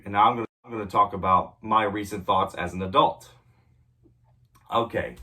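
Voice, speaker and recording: Young adult male reviewer with a cold, low-ish voice, rambling with ums, recorded away from the mic. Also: pushed and bright. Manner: rambling, frequent ums and self-corrections, clears throat often. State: sick with a cold, voice a bit off. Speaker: movie reviewer. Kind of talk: casual movie review vlog. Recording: voice a bit distant from the mic.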